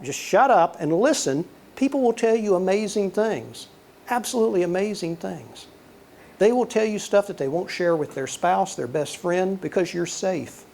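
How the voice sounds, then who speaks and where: Older guy lecturing, soothing voice, a bit higher pitched, chatty storyteller vibe. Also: a little hiss or hum. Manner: relaxed storyteller, acts out quoted dialogue. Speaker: guest lecturer, former intelligence officer. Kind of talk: conversational lecture with anecdotes.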